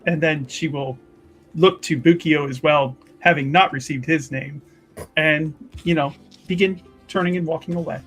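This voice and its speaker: A woman's voice: low voice, moderate pace, soft background music, low-quality recording. Woman narrating a tabletop game. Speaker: game master.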